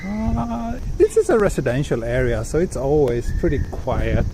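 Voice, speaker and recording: Soft-spoken Swedish man walking outdoors. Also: fairly high pitch, sing-song, moderate pace. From Sweden. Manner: soft-spoken, hesitant, many fillers and restarts. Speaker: live-stream walking tour host. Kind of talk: casual live-stream chat, reading and answering viewer comments. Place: outdoors on quiet city streets, walking. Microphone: handheld gimbal camera with small recorder. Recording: street and traffic noise behind.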